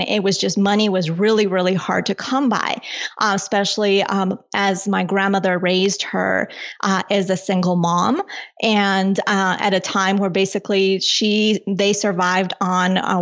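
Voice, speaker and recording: A woman from Colombia and the United States chatting casually as an interview guest, halting as she thinks aloud. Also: medium pitch. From born in Colombia, moved to the United States at eight. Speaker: podcast guest, personal finance author. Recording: slightly dull, lo-fi sound.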